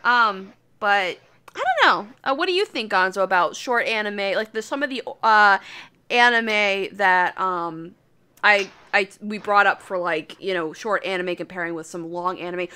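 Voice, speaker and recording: A woman with a bright, forward voice at an ordinary pitch co-hosts a casual podcast. She talks hesitantly, apologizes readily and swears in a loose, chatty way. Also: lively intonation, normal speed, clean tone. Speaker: podcast co-host.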